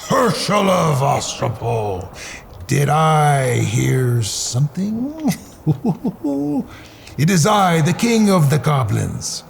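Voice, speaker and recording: A man voicing a menacing, boastful king of the goblins for a storybook, slow and threatening, with a gravelly voice. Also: on the high side, wildly swooping pitch, a little hiss or hum, pitched above the performer's own voice, heavily acted. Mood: booming, boastful.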